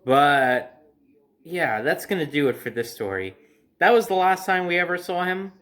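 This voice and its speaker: A young man tells a personal story in a casual, conversational way. His voice sits a little high for a man and moves up and down with lively swings. He leans on key phrases for emphasis. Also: normal speed. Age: young adult. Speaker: storyteller and host. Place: indoor room by a window at home.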